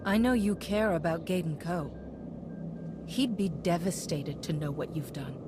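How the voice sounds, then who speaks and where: Woman as low-voiced, composed Jedi commander. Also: a little slow, music in the background, natural voice. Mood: earnest challenge. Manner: composed, authoritative. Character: firm, compassionate female Jedi commander.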